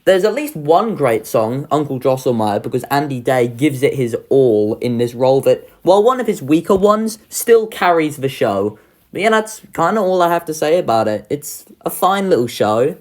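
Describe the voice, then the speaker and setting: A woman's voice: sits low, animated, moderate pace, a little mellow. A woman giving casual, opinionated video commentary as a host, informal and sardonic in manner. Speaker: video host ranking children's shows.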